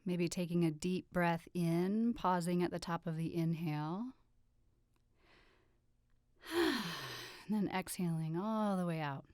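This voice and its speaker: A woman leading a guided relaxation meditation with soothing, gentle instructions and unhurried pauses. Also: fairly low pitch, steady tone, no echo, clean studio sound. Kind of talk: guided meditation, yoga nidra.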